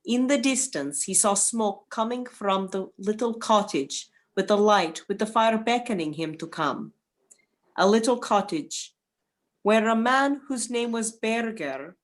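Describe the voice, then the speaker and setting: Slow-paced woman narrating a folktale. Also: mid-range voice, expressive. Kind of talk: scripted folktale narration for an amateur radio play.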